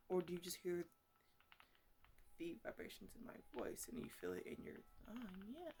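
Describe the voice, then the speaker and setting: Woman performing as female botanist, ASMR roleplay, slightly low pitch, halting casual ramble, playfully scolding, motherly and easily exasperated, soft background music. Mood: gentle, rambling.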